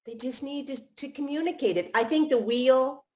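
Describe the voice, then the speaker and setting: A woman's voice: sits high, normal speed. Middle-aged woman host, rambling chat. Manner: warm-hearted, talks in long run-on thoughts with restarts. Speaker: podcast host. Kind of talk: casual live chat.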